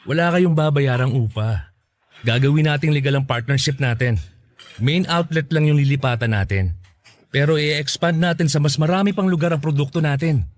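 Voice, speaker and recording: A man's voice: on the high side, normal speed, natural voice. A man acting an earnest, humble mango farmer in a Filipino radio drama, speaking in a sincere, conversational way. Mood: reassuring.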